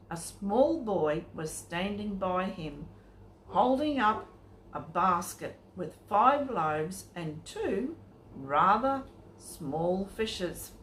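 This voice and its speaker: A woman reading a children's Bible story aloud as a storyteller, with an expressive delivery aimed at young listeners. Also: lowish voice. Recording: echoey room with faint noise.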